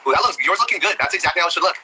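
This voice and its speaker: A man's voice: sits high, lively intonation, rapid-fire, background noise. An American man giving casual, encouraging cooking instruction as a chef, often repeating himself, over a live video call.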